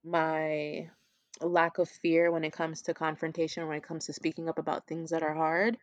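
A woman's voice: low voice, monotone, normal speed, no echo. A woman co-hosting a casual conversation, talking openly and reflectively with frequent restarts.

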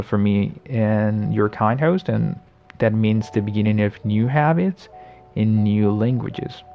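A man in his late twenties hosting a casual solo podcast, talking in a friendly, reflective way, often pausing and restarting his sentences. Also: lowish voice, gravelly, warm tone. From the Americas. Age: late 20s. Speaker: podcast host.

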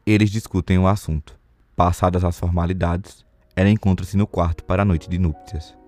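A man's voice: low voice, steady tone, moderate pace, darkish tone. A Brazilian man reading scripted narration for a radio soap opera.

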